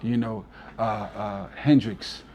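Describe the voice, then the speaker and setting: A man's voice: lowish voice, steady tone, slow, darkish tone, dull audio, slight background noise. A man in his forties, a DJ guest on an interview, talking earnestly and from the heart, often adding "you know". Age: 40s. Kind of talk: casual reflective interview talk.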